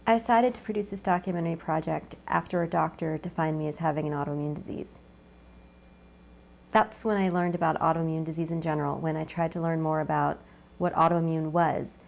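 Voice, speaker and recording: A woman's voice: low-pitched, fairly even intonation, a little fast, creaky, a little mellow. A woman producing a documentary, explaining her purpose in an earnest, purposeful way. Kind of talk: documentary narration.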